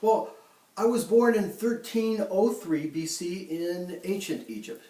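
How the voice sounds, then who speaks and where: A man plays a proud ancient pharaoh who boasts in a halting way, with many ums and restarts. The voice sits fairly high for a man. It sounds roomy and a little far from the mic. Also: warm tone. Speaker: guest in a mock interview. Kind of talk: amateur school-style role-play interview. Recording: echoey room, voice from a distance.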